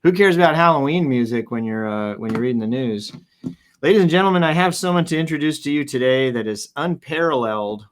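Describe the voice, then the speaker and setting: A man's voice: medium pitch, animated, a little fast. A man hosting a casual, jokey live show in a playful, theatrical, rambling way. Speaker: host. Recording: noisy, with nature sounds behind. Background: forest and nature ambience.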